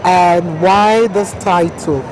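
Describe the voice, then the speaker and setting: A woman's voice: on the low side, slow, over music, crowd noise. A woman talk show host interviewing a guest at a mall expo, effusive and full of praise. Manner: effusive, says "wow" and "blessed" often. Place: busy shopping mall expo. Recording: noisy background ambience.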